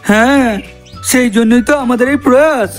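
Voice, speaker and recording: Very high-pitched cartoon uncle. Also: male voice, brisk. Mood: earnest. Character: talkative uncle in a comedy cartoon. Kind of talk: cartoon character addressing viewers. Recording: voice over background music.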